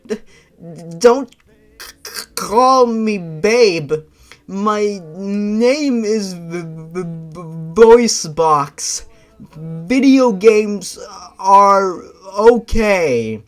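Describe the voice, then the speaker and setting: A man voicing a nervous, twitchy young female creature with a shy, halting stammer. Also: sits high, animated, pitched above the performer's own voice, heavily acted. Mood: flustered.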